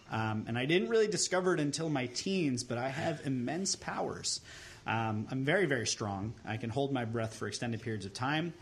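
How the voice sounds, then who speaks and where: A man in his thirties from Long Island, hosting a podcast and telling deadpan tall tales in casual chat. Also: medium pitch. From Long Island, New York. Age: 30s. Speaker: podcast host.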